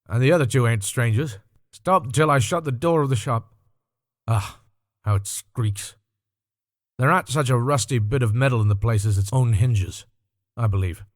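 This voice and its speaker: A man's voice: medium pitch, moderate pace, long pauses, dry recording, clean studio sound, lightly acted. A man voicing a shady, grasping old male dealer in a storybook reading, with garrulous, sly old-fashioned speech. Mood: welcoming, chuckling. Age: older.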